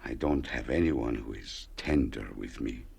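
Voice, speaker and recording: An actor playing a sad, lonely old widower in a radio play, tender and halting, with a gravelly, husky voice. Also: male voice, rumbling low, darkish tone, full character voice. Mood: lonely, pleading.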